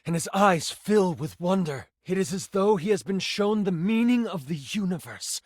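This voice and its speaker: An actor playing an excitable, theatrical nerdy game master in a video game, moving between grand narration and casual asides. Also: male voice, sits high, moderate pace, no room sound. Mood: hushed, dramatic. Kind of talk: video game character voice lines, dramatic tabletop narration.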